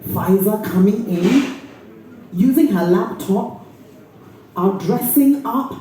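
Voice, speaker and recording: A woman actor giving an expressive, deliberate dramatic reading from a novel. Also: medium pitch, recorded from a distance. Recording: very echoey room, faint noise.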